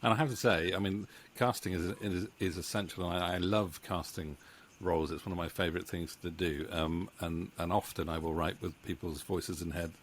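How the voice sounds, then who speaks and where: A man's voice: deep voice, steady tone, a little fast, slight background noise. A middle-aged British man chatting casually and hesitantly, with a modest, self-deprecating manner, into a close microphone at home. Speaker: audio drama writer and director. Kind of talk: casual interview chat. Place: home room with bookshelves. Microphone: studio mic on boom arm, close.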